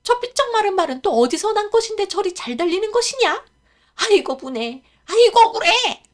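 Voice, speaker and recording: A woman's voice: very high-pitched, sing-song, fairly quick, voice pushed up. A woman voicing a scheming male court official who rants and wails in a Korean storybook reading. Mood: furious. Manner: wailing, fuming outbursts.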